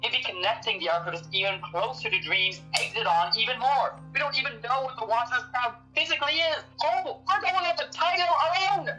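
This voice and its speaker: A woman playing a curious, level-headed occult researcher in an audio drama, measured and dryly blunt. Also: on the high side, bright, forceful tone, soft background music, rough recording. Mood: agitated.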